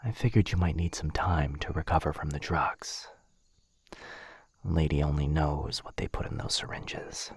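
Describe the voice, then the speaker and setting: A man playing a gentle, protective aristocratic vampire lord in an intimate ASMR roleplay, speaking in a hushed, husky near-whisper with hesitant, reassuring pauses. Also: a bass voice, dry recording, full character voice. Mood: calm, earnest. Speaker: voice actor in audio roleplay.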